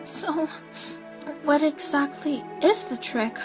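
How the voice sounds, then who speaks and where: Woman acting a high, hesitant, anxious schoolgirl. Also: animated, soft background music, low-quality recording. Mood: puzzled, surprised. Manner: hesitant, halting. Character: anxious, fragile schoolgirl, little sister, narrating her story. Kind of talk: anime-style audio drama acting.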